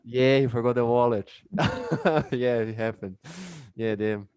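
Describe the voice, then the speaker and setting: A man's voice: mid-range voice, little pitch movement, measured pace, a little mellow, clear, resonant tone, no echo, dull audio. A Brazilian man with a Brazilian Portuguese accent, teaching an English class casually over a video call and chatting with many fillers.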